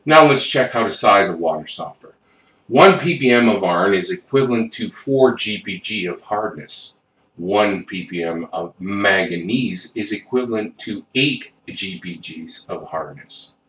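A man's voice: lowish voice, animated, measured pace, fairly bright tone. A man narrating an instructional plumbing video, reading technical figures step by step with the odd self-correction. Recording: roomy, distant mic with faint noise.

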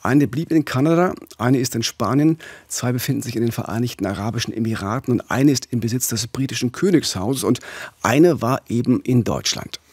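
A man's voice: medium pitch, expressive, fairly quick, darkish tone, slightly rough, dry recording. A middle-aged man co-hosting a true-crime podcast, presenting and explaining things in a studio. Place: small radio studio. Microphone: close studio microphone.

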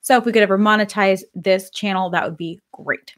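A woman in her thirties hosting a live stream on pet grooming in a chatty, upbeat way, her voice slightly hoarse. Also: mid-range voice, brisk. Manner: upbeat, chatty, says she is excited. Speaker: pet grooming business host. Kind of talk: casual live-stream talk. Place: home office with bookshelves, indoors.

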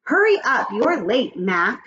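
Woman reading a bossy hurry-up teacher, loud and high, muffled sound. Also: sing-song, slow, full character voice. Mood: impatient. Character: brisk impatient schoolteacher.

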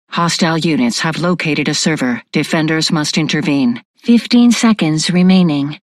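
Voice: mid-range voice, dry recording, close to an everyday voice. An actor voicing an impersonal computer announcer for a futuristic military facility in a video game, clipped, formal and emotionless.

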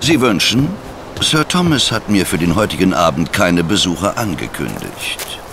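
An actor playing a gaunt, stern, disdainful manor butler in a radio play, stiff and formal. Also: male voice, sits low, big pitch swings, moderate pace, creaky, over music, heavily acted. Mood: cold, dismissive.

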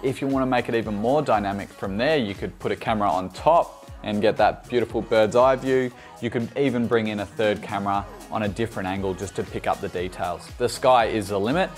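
A man's voice: fairly low pitch, a little fast, hardly any pauses, faint music behind. A man in his thirties explaining things conversationally to camera, in a tutorial style. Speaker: videographer and presenter. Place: bright furnished room indoors.

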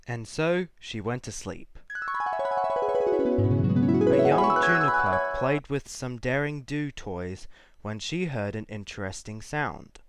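A man reading a scripted story as its narrator. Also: medium pitch.

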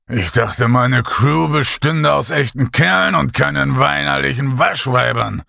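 A man's voice: medium pitch, unhurried, creaky, sharp tone, no echo, clean studio sound, full character voice. A man voicing a domineering bearded ship captain who bellows threats at his crew. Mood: bullying, boisterous.

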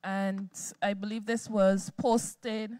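A woman's voice: mid-range voice, little pitch movement, slow pace, darkish tone, no echo, clean studio sound. A woman moderating a lecture who carefully reads out a question sent in by an audience member. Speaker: event moderator.

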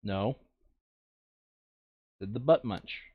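A man's voice: fairly low pitch, drawn-out words, slightly rough, muffled recording. A man running a board game playthrough in casual, crude, joking chat, now and then reading game text aloud. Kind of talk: casual gaming chat with bits of read-aloud flavour text.

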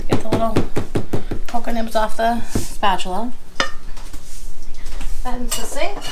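A woman's voice: fairly high pitch, unhurried, very noisy. Chatty woman cooking in a home kitchen. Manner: relaxed and chatty, with frequent "um" and "we're gonna" as she works. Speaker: home cooking show host. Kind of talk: casual step-by-step cooking demo. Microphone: fixed camera across the kitchen counter. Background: clinking dishes and utensils.

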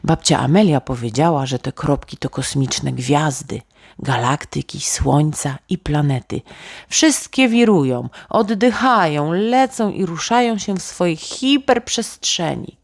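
A woman's voice: deep voice, expressive, unhurried, no echo, clean studio sound. A woman reading a children's bedtime story as a scripted narrator.

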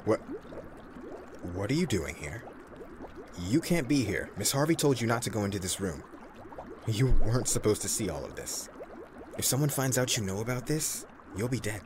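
A man's voice: lowish voice, big pitch swings, moderate pace, long pauses. A man playing a loyal, anxious right-hand employee in an audio roleplay, earnest and respectful. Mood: alarmed. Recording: roomy echo, faint background noise.

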